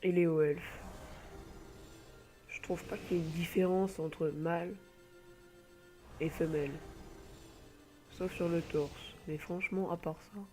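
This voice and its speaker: A man's voice: fairly high pitch, fairly even intonation, a little slow, pauses often, darkish tone, faint music behind. A man with a cold, talking casually and hesitantly over gameplay from a home computer mic, rambling with many filler sounds. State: has a cold. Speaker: gamer presenting an online game.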